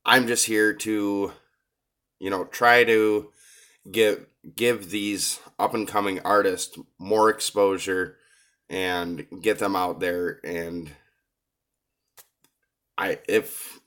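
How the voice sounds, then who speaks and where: Deep-voiced man with a cold. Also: steady tone, a bit bright. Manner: hesitant, many filler pauses. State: coming down with a cold. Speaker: reaction video host. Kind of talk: casual commentary on a rap song.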